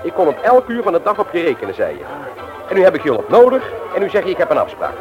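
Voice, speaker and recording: An actor playing a firm, insistent older brother in a Dutch radio drama, probing with authority. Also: male voice, high-pitched, huge pitch swings, fast, music in the background, a crowd in the background, low-quality recording. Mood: suspicious, challenging. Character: firm, persistent older brother investigating a planned burglary.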